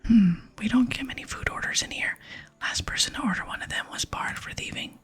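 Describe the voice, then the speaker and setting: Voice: mid-range voice, a little fast, a bit bright, slightly rough, voice pushed up. A person voicing a proud, grumpy video game cook who scolds in a whisper. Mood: indignant. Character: gruff, proud cook guarding the kitchen, quick to scold.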